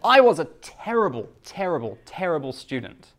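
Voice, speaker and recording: A British man from the UK gives a live talk to a Japanese audience in simple, slow English, joking at his own expense. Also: on the high side, big pitch swings, fairly bright tone. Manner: simple slow English with self-mocking jokes. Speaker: guest speaker.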